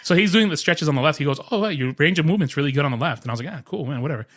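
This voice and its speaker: Fast-talking bright man in casual chat. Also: on the high side, no room sound, studio quality. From New York. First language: Spanish. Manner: storytelling, joking, animated retelling. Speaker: podcast co-host.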